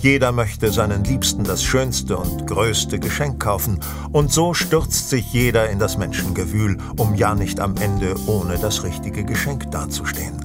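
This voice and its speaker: A man narrating a horror radio play as a measured storyteller. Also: lowish voice, loud music behind the voice, lightly acted. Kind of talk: scripted audio drama narration.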